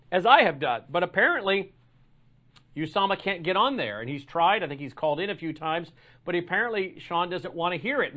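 A man's voice: sits high, bright, forceful tone. A man hosting a live talk broadcast, delivering a combative opinion monologue with frequent repeats and restarts. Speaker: talk show host. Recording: dull lo-fi broadcast audio.

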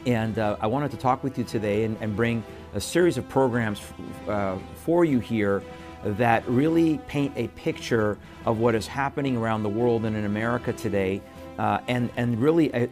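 A man's voice: medium pitch, a little mellow. Middle-aged man, earnest, repetitive, filler-heavy, mid-range voice. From Iran. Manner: emphatic, repetitive, with many fillers. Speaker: host. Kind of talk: earnest monologue to camera.

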